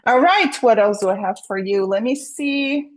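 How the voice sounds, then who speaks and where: A woman's voice: fairly high pitch, moderate pace. Chatty woman giving study tips. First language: French. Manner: chatty, encouraging, many fillers and restarts. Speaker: real estate agent. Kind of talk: casual tutorial vlog.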